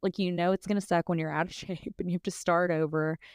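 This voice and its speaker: A woman's voice: lowish voice, steady tone, fast, smooth, no room sound, studio quality. A woman in her thirties co-hosting a podcast, talking in a candid, casual way with lots of fillers and bits of laughter. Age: 30s. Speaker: podcast co-host. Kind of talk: casual chat.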